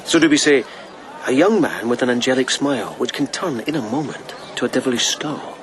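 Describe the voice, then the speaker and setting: An actor playing a practical lieutenant in a radio drama, speaking in a dry, conversational, reasoning way. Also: male voice, medium pitch, lively intonation, a little fast, slight background noise, close to an everyday voice. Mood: wry, pragmatic. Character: practical, wry male lieutenant on a search.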